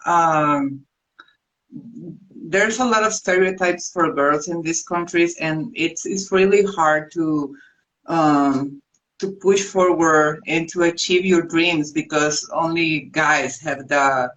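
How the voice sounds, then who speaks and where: Illustrator, woman, Salvadoran Spanish accent, pauses to find words. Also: deep voice, a bit bright, poor audio. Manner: hesitant, searching for words. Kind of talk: casual interview chat.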